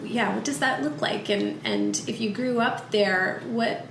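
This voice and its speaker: A woman's voice: a little high, huge pitch swings, measured pace, vocal fry, a little hiss or hum. A woman hosting a podcast who introduces her guest and asks curious questions. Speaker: podcast host. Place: office.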